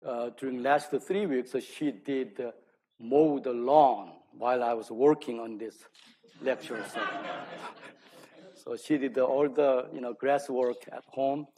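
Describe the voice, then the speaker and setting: Korean-accented man giving a halting lecture. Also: mid-range voice, fairly even intonation, mellow. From South Korea. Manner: self-deprecating, halting delivery. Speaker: lecturer, archaeology scholar. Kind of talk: public academic lecture. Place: speaking live to an audience at an event.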